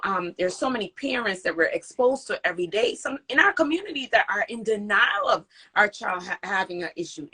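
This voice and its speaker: Bright, husky-voiced woman hosting. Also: mid-range voice. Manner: earnest, animated storytelling with emphatic quoted speech. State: recovering from voice loss. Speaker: interview host and parent advocate. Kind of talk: casual live-stream interview. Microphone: video call.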